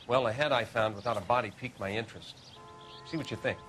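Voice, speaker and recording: An actor playing a wry, unflappable police forensic examiner who explains things precisely in a 1940s video game. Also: male voice, medium pitch, fast talker, fairly bright tone, a little hiss or hum, close to an everyday voice. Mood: dry, intrigued.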